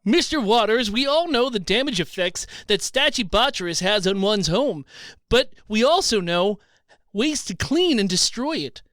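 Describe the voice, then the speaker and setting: Earnest, formal TV interviewer played by a man, extremely high voice, animated and bright, for mock news comedy. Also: dry recording. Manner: formal, measured questioning.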